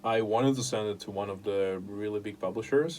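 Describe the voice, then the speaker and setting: A young man whose first language is Russian, talking casually about his writing in an interview and often pausing to search for words. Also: lowish voice, a little mellow, slight background noise. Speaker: podcast host and writer.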